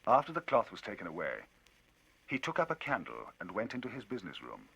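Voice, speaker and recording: A man narrating a classic novel with a husky, forceful voice that swings widely in pitch. His narration drops low and soft between the characters' lines. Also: wildly swooping pitch, brisk, low-quality recording. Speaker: audiobook reader. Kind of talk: dramatic literary reading.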